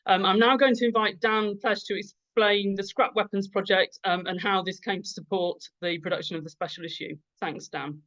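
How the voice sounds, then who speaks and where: A woman's voice: mid-range voice, fairly even intonation, normal speed, hardly any pauses, a bit bright. Moderator woman on a muffled, distant line. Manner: casual, filler-heavy. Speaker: webinar moderator.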